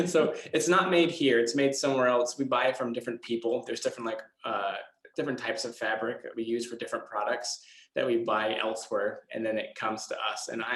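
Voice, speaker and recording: A man's voice: mid-range voice, fast, a bit bright. A man speaking casually as a guest answering children's questions, in a plain, hesitant, self-deprecating way. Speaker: guest speaker from an eco products company. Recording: echoey room sound.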